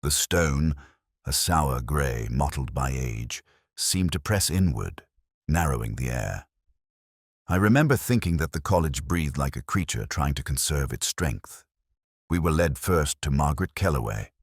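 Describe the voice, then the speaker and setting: Guy doing a brooding old police inspector telling his case, super deep voice, dry close sound, measured literary delivery. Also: very animated, breathy edge, no echo, exaggerated voice. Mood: brooding, reflective. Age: older. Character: ageing, melancholy, observant male police inspector. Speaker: narrator. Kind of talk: first-person scripted detective story narration.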